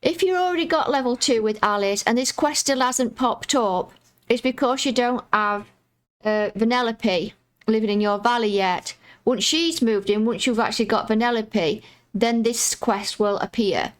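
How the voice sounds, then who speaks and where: A woman with a croaky voice casually walking viewers through a video game quest, chatty and explaining each step. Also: a little high, moderate pace. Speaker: gaming YouTuber.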